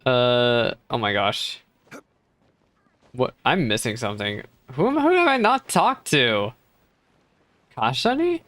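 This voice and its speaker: A reaction streamer reacting casually to a game in animated, slangy exclamations. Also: medium pitch, takes it slowly, pauses often, no room sound.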